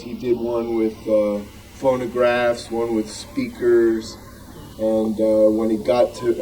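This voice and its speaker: A man's voice: mid-range voice, warm tone. A man giving a casual, somewhat hesitant show-and-tell talk as a club presenter. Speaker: club member presenting family memorabilia. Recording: distant mic, faint room noise.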